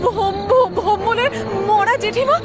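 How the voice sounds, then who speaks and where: Shrill, panicked village mother. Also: normal speed. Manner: panicked, screaming, wailing. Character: terrified village mother shrieking about a ghost. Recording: loud music and crowd noise behind.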